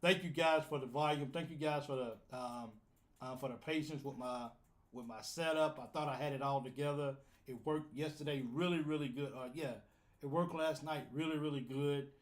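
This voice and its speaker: A man's voice: a little high, moderate pace. A man hosting a live fan call-in show, casual and flustered while fixing his audio setup. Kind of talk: casual live-stream chat.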